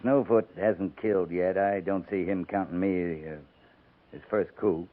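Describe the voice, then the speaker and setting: An actor playing a calm, commanding cavalry captain in a 1950s radio western, speaking in a measured, blunt way. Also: male voice, deep voice. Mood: earnest, reflective. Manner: measured, blunt, plain-spoken. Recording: muffled, narrow-band 1950s radio recording.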